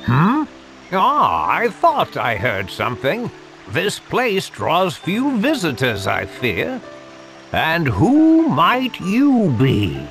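A man voicing a feeble, kindly old sage in a video game, with creaky, theatrical, old-fashioned speech. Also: a little high, wildly swooping pitch, drawn-out words, exaggerated voice, rough recording. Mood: kindly, musing. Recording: music under the voice.

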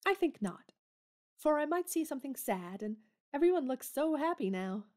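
A woman's voice: high-pitched, very animated, normal speed, a little mellow. An actress playing a blunt, spirited young woman in an audio drama. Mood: melancholy.

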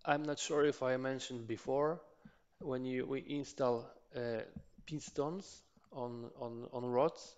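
A man in his thirties, a Polish native speaker, explaining an engine rebuild in his workshop, talking haltingly with many fillers and restarts. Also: medium pitch, steady tone, darkish tone, clear, resonant tone, muffled recording. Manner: slow and hesitant, many filler sounds and restarts. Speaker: motorcycle mechanic channel host. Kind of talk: hands-on repair tutorial. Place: cluttered home workshop.

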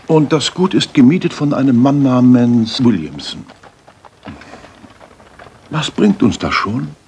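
An actor playing a haughty, self-assured gentleman detective in a radio play, speaking in a precise, lecturing, theatrical way. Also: medium pitch, animated, unhurried. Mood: scolding, sardonic. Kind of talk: German radio play acting, 1980s. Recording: 1980s radio play with audible noise.

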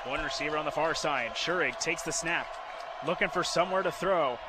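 A man with a high-pitched voice calls live football on the radio. He is excitable, moving from steady descriptions to shouted calls. The broadcast comes from a stadium outdoors, with clear background noise. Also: a little fast. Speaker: radio play-by-play football commentator. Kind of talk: live sports radio play-by-play commentary.

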